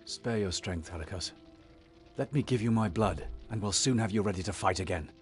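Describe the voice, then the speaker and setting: An actor playing a noble, resolute young warrior leader in a fantasy game, earnest and commanding. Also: male voice, lowish voice, brisk, soft background music, close to an everyday voice. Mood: concerned. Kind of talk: fantasy video game voice acting.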